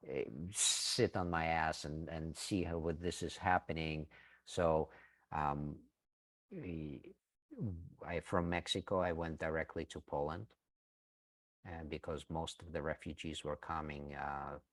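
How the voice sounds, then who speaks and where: A middle-aged man from Ukraine speaks as an interview guest in an earnest, halting way. His voice sits extremely low and he talks slowly. The recording is dry and close. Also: no room sound. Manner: slow, earnest, many hesitations. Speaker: interview guest, breathwork teacher. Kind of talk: reflective interview answer.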